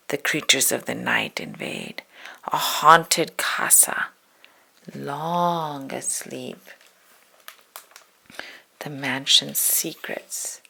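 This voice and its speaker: A woman storyteller with a low, creaky voice reads a spooky children's poem at a very slow pace. She draws out her words dramatically and slips into hushed whispers. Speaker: storybook reader.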